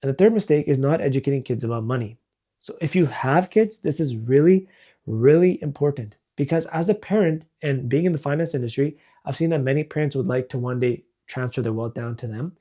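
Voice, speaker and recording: Mellow-voiced man giving money advice. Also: medium pitch, animated, fairly quick. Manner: friendly explanatory, walks through numeric examples. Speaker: financial advisor. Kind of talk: direct-to-camera advice video.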